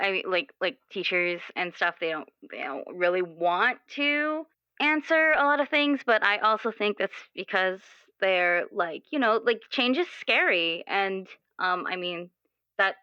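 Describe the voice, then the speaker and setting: A woman playing an earnest, questioning young character in improvised roleplay, speaking haltingly with many repeated words. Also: mid-range voice, pushed and bright, clean tone, no room sound, dull audio. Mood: nervous, earnest. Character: earnest, questioning twenty-year-old, restless with their assigned role. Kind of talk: improvised actual-play roleplay dialogue.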